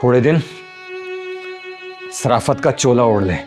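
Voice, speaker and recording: A man's voice: mid-range voice, unhurried, close to an everyday voice. An actor playing a stern rich father who talks to his son in a menacing, commanding way. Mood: threatening. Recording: roomy echo with faint music.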